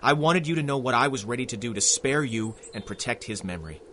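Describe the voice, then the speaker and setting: A man's voice: medium pitch, animated, quick pace, sharp tone, a little hiss or hum. A man playing a resolute young police constable in a 1930s-style radio drama. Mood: tender, earnest.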